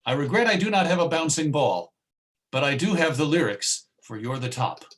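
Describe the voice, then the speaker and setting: A man's voice: on the high side, expressive, moderate pace, fairly bright tone. A man presenting an informal online lecture in a chatty, encouraging way. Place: live online video presentation.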